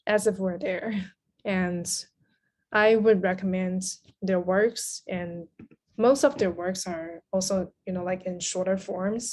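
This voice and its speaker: Mid-pitched woman, thoughtful podcast guest. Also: darkish tone. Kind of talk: casual interview talk.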